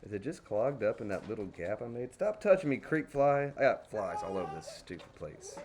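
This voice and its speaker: A man's voice: lowish voice, big pitch swings, brisk, darkish tone, slight background noise. A man from Dallas with a Texas accent, chatting casually and folksily to himself as he paints. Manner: folksy, chatty, talks to himself while working. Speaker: crayon painting streamer.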